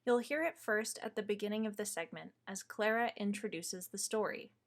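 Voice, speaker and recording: A woman reading scripted narration in a measured, explanatory way, introducing material for an audience. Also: mid-range voice.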